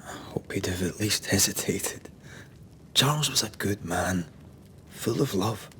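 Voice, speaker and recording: A man playing a rugged, soft-hearted ghost hunter in a video game drama, gentle and earnest. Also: deep voice, unhurried, a little hiss or hum. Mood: sombre.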